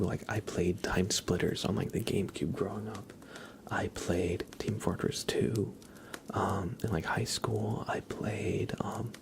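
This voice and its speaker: A man's voice: on the low side, vocal fry. A young man whispering casual, rambling chat as the host of an ASMR livestream, often hesitating.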